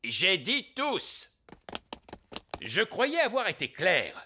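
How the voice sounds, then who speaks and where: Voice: mid-range voice, huge pitch swings, slow pace, sharp tone, a little hiss or hum, heavily acted. An actor voicing a stern, exasperated father in a children's cartoon, speaking emphatically. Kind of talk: dubbed children's cartoon acting.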